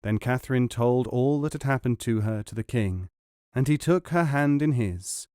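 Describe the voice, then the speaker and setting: A man reading an old folk tale aloud with measured, expressive storytelling. His voice sits in a middle pitch, recorded close and dry with no room around it. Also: dry recording. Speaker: audiobook narrator. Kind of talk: scripted storybook narration.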